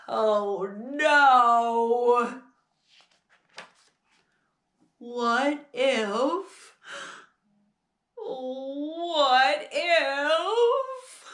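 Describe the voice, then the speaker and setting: A woman voicing a male storybook elephant, anxious and melodramatic, with drawn-out theatrical exclamations. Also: sits high, a bit bright, voice pushed up, full character voice. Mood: panicked. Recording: roomy, echoing sound.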